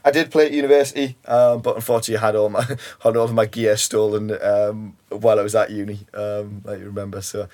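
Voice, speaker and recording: A man's voice: on the low side, flat delivery, fast talker, hardly any pauses, darkish tone. Chatty young Geordie man. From Newcastle, England. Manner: chatty, many ums and "you know"s. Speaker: guest, ambulance worker. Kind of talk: casual interview chat.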